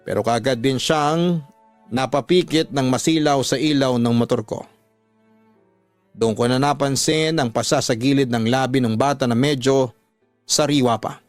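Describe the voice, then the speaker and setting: A man narrating a first-person radio drama story in an earnest, measured storytelling manner. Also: medium pitch, no echo. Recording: dry voice over music bed.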